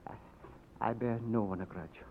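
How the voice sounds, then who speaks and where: An actor playing a meek, frightened witness who stammers haltingly, in 1940s radio style. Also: male voice, lowish voice, steady tone, phone-quality sound, natural voice. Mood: fearful. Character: timid, frightened, pious Irish male witness. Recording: thin, noisy old radio broadcast.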